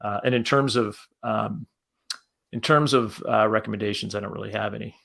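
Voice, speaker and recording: Gravelly low-voiced man, thinking aloud. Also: steady tone, fairly bright tone. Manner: halting, circling back over phrases. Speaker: panelist, podcast creator. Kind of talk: casual panel talk.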